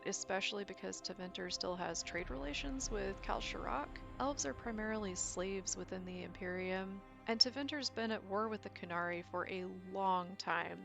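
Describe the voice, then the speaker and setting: A woman's voice: mid-range voice, creaky. A woman giving a casual, chatty explainer voice-over with informal, nerdy enthusiasm. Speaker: video creator explaining game lore. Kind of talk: casual commentary, lightly scripted explainer. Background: faint background music.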